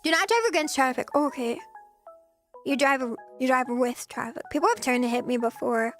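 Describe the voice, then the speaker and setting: A young woman streamer chatting casually with viewers in a soft, giggly voice, her throat a little scratchy. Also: sits high, fairly quick, soft background music. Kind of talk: casual chat.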